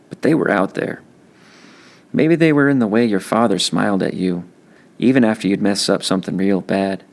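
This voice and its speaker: A man's voice: fairly low pitch, vocal fry. A man reading a children's novel aloud as scripted audiobook narration. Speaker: audiobook reader.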